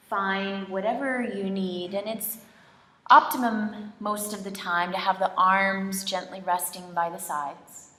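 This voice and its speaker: Female meditation instructor, very slow and gentle delivery, long pauses, echoey studio. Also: medium pitch. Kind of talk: slow guided relaxation meditation, soothing instruction. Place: indoor studio, leading a group in person. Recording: roomy, echoing studio sound.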